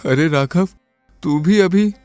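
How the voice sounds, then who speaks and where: A worn-down village potter and father in a cartoon storybook, voiced by a man. He talks in a heavy, despairing way, lower than the narration. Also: slow pace, a little mellow, clear, resonant tone, no echo, faint music behind. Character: struggling poor potter father, ashamed.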